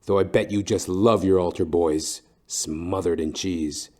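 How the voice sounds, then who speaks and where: A man's voice: sits low, steady tone, unhurried, mellow. An actor playing an insolent, wry male private detective with clipped delivery in an audio comedy drama. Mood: cool, insolent.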